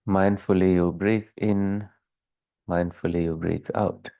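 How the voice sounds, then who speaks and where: A man leading a guided meditation as a teacher, calm and measured, leaving long pauses between short phrases. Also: deep voice, no room sound.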